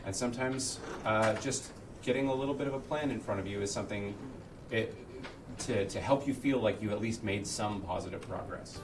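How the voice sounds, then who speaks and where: A man's voice: on the low side, fairly quick, noisy background. A man on a livestream panel giving casual, encouraging advice, picked up from a distance. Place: indoor livestream studio. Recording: distant from the microphone. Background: music in the background.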